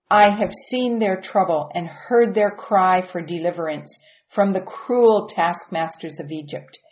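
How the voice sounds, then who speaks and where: A woman reading a storybook aloud and voicing God as a calm, firm and reassuring presence. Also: medium pitch. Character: God, calm patient commanding voice. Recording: dull lo-fi recording.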